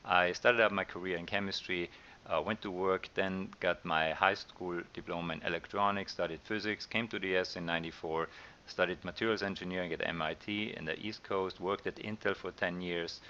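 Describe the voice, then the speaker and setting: A middle-aged man with an Austrian accent, talking through course slides as a science teacher in a relaxed way, with many ums and uhs. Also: low voice, fairly quick, dull audio, slight background noise. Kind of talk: recorded slide lecture.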